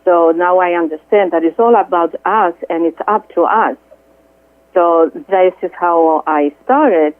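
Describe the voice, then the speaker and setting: A middle-aged woman from Greece with a Greek accent, a guest speaking earnestly and haltingly, with many fillers, over the phone. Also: fairly low pitch. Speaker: guest, Reiki healer. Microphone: telephone. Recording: tinny dry phone line.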